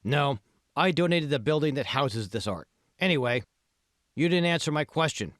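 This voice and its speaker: A man's voice: fairly high pitch, animated, moderate pace, a bit bright, no echo, studio quality, pitched above the performer's own voice. A man voicing a self-assured, smug billionaire in an audiobook, explaining things and pressing his point. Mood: smooth, self-assured. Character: self-assured male tech billionaire, smug patron of art. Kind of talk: audiobook dialogue.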